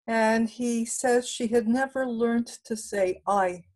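An older woman from Canada speaking up in an online class from her home, thoughtful and measured, then candid. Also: a little high, darkish tone, rough recording. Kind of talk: class discussion over video call. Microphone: laptop microphone on a video call.

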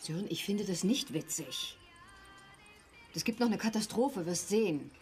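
A woman's voice: medium pitch, expressive, normal speed, darkish tone, faint music behind, close to an everyday voice. A woman playing a no-nonsense wife and mother in a naturalistic radio play, firm, matter-of-fact and reproachful.

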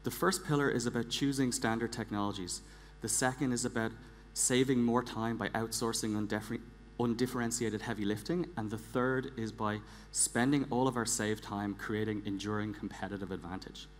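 A man in his forties giving a prepared conference talk on stage in an emphatic, deliberate manner, repeating words for weight. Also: lowish voice, fairly even intonation. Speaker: conference speaker, engineering director. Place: large theatre stage, indoors. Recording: echoey large hall, clear background hum.

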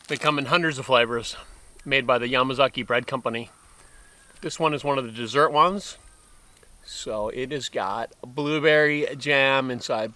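A man's voice: mid-range voice, pushed and bright. A man in his fifties, chatting casually to the camera while eating snacks outdoors and reacting with lots of mm sounds and exclamations. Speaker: food vlogger. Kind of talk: casual food review to camera. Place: outdoors in a wooded park, seated at a bench.

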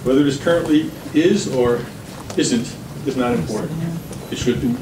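A middle-aged man reading prepared testimony in a measured, formal way at a public hearing. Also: a little high, lively intonation. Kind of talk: prepared testimony read aloud. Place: government committee hearing room. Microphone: camera across the room. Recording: distant, echoey room recording with background noise.

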